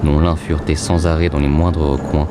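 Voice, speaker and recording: Very deep male fantasy narrator. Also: little pitch movement, a little fast, very noisy. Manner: literary, ominous storytelling. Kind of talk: scripted fantasy story narration.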